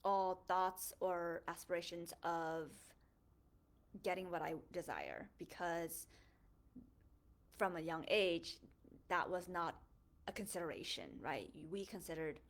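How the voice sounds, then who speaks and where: Young woman, slightly low voice, reflective personal monologue, earnest, pauses and restarts often. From born in a refugee camp in Thailand, Hmong, raised in the United States. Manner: earnest, thoughtful, with frequent fillers and self-corrections. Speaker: host of a faith podcast.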